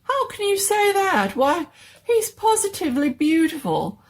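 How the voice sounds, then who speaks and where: A woman voicing an excitable, doting little male mad scientist in a storybook reading, fussy and full of proud exclamations. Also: very high voice, a little slow, voice pushed up, heavily acted. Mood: proud, gushing. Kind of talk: dramatic reading of a horror-comedy story.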